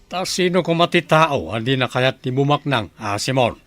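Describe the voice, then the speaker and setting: A man's voice: mid-range voice, animated, normal speed, a bit bright, dry recording, faint music behind, close to an everyday voice. A man with an Ilocano accent playing a skeptical, ambitious village farmer in a radio comedy-drama.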